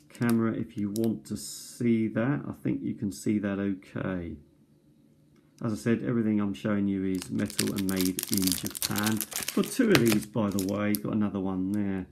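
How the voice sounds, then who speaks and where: Hesitant man, mellow voice. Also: lowish voice, steady tone, normal speed, gravelly, a little hiss or hum. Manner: hesitant, many ums and restarts. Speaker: cassette tape collector. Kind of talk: casual show-and-tell. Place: kitchen table. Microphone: tablet camera mic.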